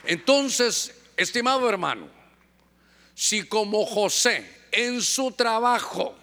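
High-voiced male preacher, lively and joking, acts out little scenes. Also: takes it slowly. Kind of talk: sermon.

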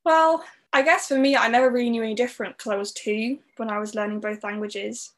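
A woman's voice: a little high, fairly even intonation, a little fast. Chatty young woman, Southern English accent. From Netherlands. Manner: chatty, frequent "like" fillers. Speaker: guest. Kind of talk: podcast interview, personal story.

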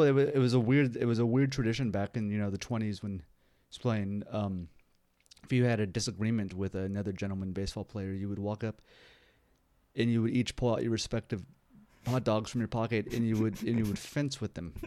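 A man's voice: on the low side, fairly even intonation, a little fast, a little mellow. An American man co-hosting a podcast, riffing in casual, irreverent comic banter. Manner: irreverent, joking. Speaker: podcast co-host.

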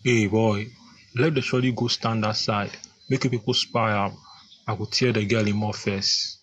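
A man's voice: lowish voice, steady tone, moderate pace, a bit bright, a little hiss or hum, natural voice. A man acting a menacing gang leader in an audio play, with a Ghanaian accent. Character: menacing young street thug, leader of a gang. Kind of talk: Pidgin street-talk dialogue in an audio play.

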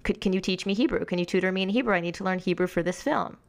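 A woman's voice: medium pitch, rapid-fire, vocal fry. A woman co-hosting a podcast, chatting casually and reading tweets aloud with laughs. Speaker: podcast co-host. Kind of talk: casual chat reviewing a reality show.

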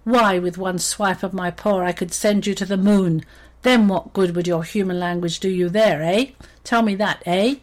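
A woman's voice: medium pitch, sing-song, normal speed, few pauses, husky. A woman voicing a mighty male lion king in a storybook, imperious and blustering, full of sarcasm and menace. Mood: enraged.

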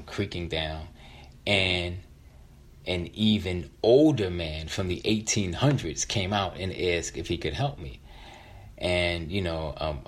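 Very deep-voiced American man telling a story. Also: a little hiss or hum. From West Philadelphia, United States. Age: 50s. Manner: halting, reflective, repeats words. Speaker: featured storyteller. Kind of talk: personal storytelling, conversational.

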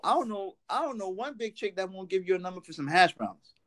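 A man in casual podcast chat, joking and animated. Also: high voice, fast. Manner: animated joking, laughs loudly.

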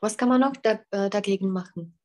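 A woman's voice: mid-range voice, fairly quick, darkish tone. A woman teaching German in an online lesson, patiently prompting and correcting her student with short acknowledgments. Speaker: language teacher.

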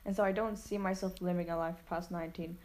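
Halting, reflective young woman, lowish voice. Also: fairly even intonation, a little mellow. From Sweden. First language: Swedish. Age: teens. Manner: reflective, halting, self-doubting. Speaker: student podcast co-host. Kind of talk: casual chat.

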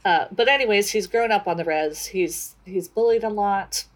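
A woman co-hosting a podcast, talking off the cuff and halting as she searches for words. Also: medium pitch. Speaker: podcast co-host. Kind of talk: casual, unscripted book summary.

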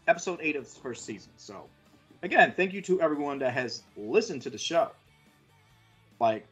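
List: man, around forty, podcast host, animated pitch, husky, rambling, crude jokes, muffled lo-fi recording. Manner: rambling, crude joking, reads out stats with fillers. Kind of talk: casual podcast chat.

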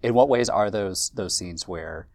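A man interviewing actors, asking questions in a halting way with repeated words. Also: deep voice, darkish tone, no echo.

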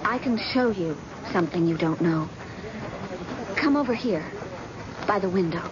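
Actress as a grave, bitter daughter on noisy old radio. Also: female voice, lowish voice, animated, lo-fi sound, lightly acted. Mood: grave, insistent. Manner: deliberate, solemn storytelling. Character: grave, bitter grown daughter, guarded and deliberate. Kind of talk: old-time radio drama acting.

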